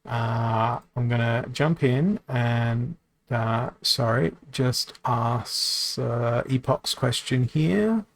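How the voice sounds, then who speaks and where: A man hosts a live stream of a card game, talking loosely to his audience. His voice sits at a middle pitch, and he rambles with plenty of ums and restarts while he reads out viewer questions and answers them. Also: very slow, creaky, dry recording. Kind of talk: casual live-stream chat.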